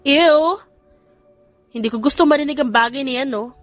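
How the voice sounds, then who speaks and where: A woman's voice: high voice, normal speed, dry recording, faint music behind, voice pushed up, lightly acted. A woman with a Filipino accent voicing a sassy, indignant youngest sister in an audio story, bratty and challenging. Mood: teasing, challenging.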